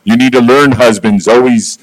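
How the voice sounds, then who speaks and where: A middle-aged American man preaching from the pulpit as a guest pastor, his voice big and jovial. He speaks in short bursts and leaves pauses so each line can be interpreted. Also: steady tone, poor audio. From United States. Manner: booming, jovial, short phrases with pauses for translation. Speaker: visiting senior pastor. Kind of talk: sermon with live interpreter.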